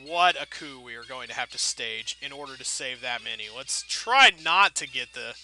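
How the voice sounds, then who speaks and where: A man's voice: medium pitch, lively intonation, moderate pace, vocal fry, crowd noise. A man giving casual, rambling gameplay commentary, thinking aloud in a wry, self-deprecating way with playfully folksy turns of phrase. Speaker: video game let's player.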